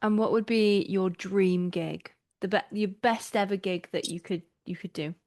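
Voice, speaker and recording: A woman's voice: mid-range voice, normal speed, a little mellow. Woman from Wales, English accent, friendly interviewer, mid-range voice. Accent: English, often taken for London. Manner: friendly, chatty, laughs often. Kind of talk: casual interview.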